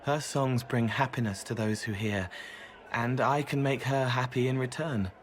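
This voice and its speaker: A gentle, otherworldly young man from a fantasy game, voiced by an actor. He is kindly and literal, in a medium-pitched voice, with light crowd murmur around him. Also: fairly even intonation, normal speed, natural voice. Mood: gentle, content. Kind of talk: video game dialogue acting.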